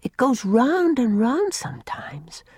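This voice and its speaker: A woman's voice: on the high side, wildly swooping pitch, takes it slowly, a little mellow, breathy edge, no room sound, voice pushed up, heavily acted. Woman doing a weak, wistful little girl. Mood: faint.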